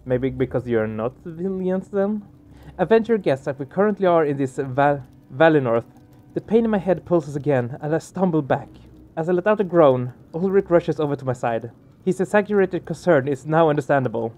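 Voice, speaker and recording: Higher-pitched man doing game commentary. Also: lively intonation, normal speed, a little mellow. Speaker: let's play streamer. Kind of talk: casual gaming commentary, reading game text aloud. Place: small room indoors. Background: game music.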